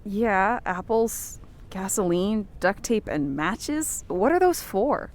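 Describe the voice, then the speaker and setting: A woman's voice: big pitch swings, slow pace. A woman playing a dry, sarcastic, sensible young heroine in an audio fiction comedy. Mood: puzzled. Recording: low rumbling background noise.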